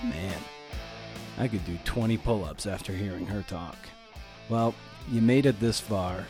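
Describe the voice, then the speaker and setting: A man with a slightly low voice hosts a podcast. He talks casually and earnestly, with music clearly audible in the recording. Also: a little mellow. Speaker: podcast host, interviewer.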